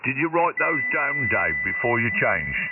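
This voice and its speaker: Gravelly man on a hissy radio. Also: mid-range voice, very animated. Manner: halting, reads out equipment settings step by step. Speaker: amateur radio operator. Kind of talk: on-air radio net chat. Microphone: radio transceiver microphone. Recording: tinny radio transmission with hiss.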